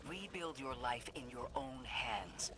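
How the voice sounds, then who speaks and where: A voice actor playing a resolute mentor and leader who speaks firmly. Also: male voice, mid-range voice, lively intonation, slow, noisy background.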